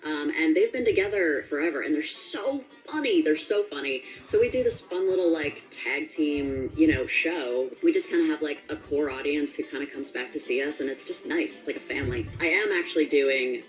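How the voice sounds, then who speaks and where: A woman's voice: low-pitched, normal speed, hardly any pauses, soft background music, low-quality recording. A woman speaking as an interviewed voice actor, chatting in an informal, rambling, enthusiastic way. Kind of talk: casual interview chat.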